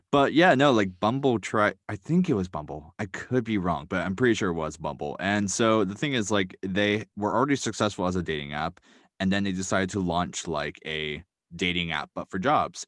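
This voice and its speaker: A man with a very low voice chats as a podcast guest, his delivery meandering and padded with fillers and self-corrections. The sound is close and dry, with almost no room around it. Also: a bit bright, no room sound. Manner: rambling, full of "um" and "like", hedging. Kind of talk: casual podcast chat.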